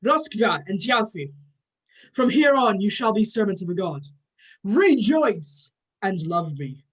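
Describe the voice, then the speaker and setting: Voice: medium pitch, big pitch swings, unhurried, fairly bright tone, slightly rough, heavily acted. An amateur actor playing a grand, short-tempered male thunder god with a formal, pompous manner in a myth play reading. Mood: lordly.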